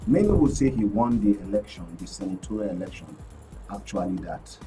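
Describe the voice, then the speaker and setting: Man, Nigerian English accent, panel guest, low voice, measured. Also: expressive, warm tone, faint music behind. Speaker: journalist and media analyst guest. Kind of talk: panel discussion commentary.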